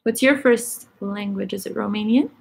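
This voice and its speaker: Gravelly, husky woman live-streamer. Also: mid-range voice, moderate pace, darkish tone. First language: Egyptian Arabic. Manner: chatty, filler-heavy, reads questions aloud. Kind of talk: casual live-stream chat reading viewer comments.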